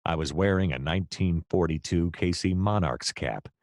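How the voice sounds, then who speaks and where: An actor with an American accent playing a hapless, wry male private detective in a noir audio play, deadpan and sometimes flustered. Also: low voice, normal speed, dry recording, studio quality, close to an everyday voice. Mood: wry, brooding. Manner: wry, deadpan narration, hesitant and flustered in dialogue.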